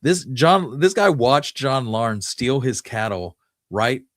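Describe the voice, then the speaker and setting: A man's voice: medium pitch, lively intonation, takes it slowly, a bit bright, dry recording, clean studio sound. A man co-hosting a podcast, retelling history in a casual, conversational storytelling style. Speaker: podcast co-host.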